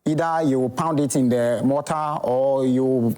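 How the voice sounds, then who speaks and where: A man's voice: mid-range voice, a little slow, warm tone, clean tone. A Ghanaian man, an herbal doctor, explaining remedies as a TV interview guest in a hesitant way, with many fillers.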